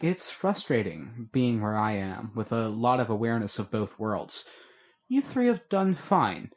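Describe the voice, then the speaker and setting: A man voicing Matthew, a weary, guarded young man who explains things in a measured way. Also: fairly low pitch, very animated. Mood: earnest.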